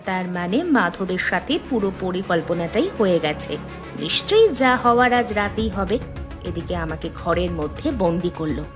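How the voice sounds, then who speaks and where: An actress playing an earnest, strong-willed young daughter-in-law in a cartoon drama. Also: female voice, lowish voice, sing-song, normal speed, music in the background. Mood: determined, defiant. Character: educated young daughter-in-law, defiant amateur sleuth.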